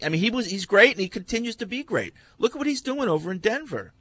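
A man's voice: sits high, animated, fast, fairly bright tone, husky. A man hosting a sports talk radio show, chatting with hesitant fillers and restarts. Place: radio studio.

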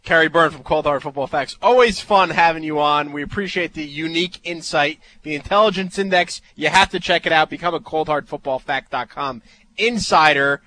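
A man's voice: on the high side, brisk, sharp tone. A man with a New Jersey accent co-hosting a sports radio show, joking and teasing his guests. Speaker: radio co-host. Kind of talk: casual sports radio banter.

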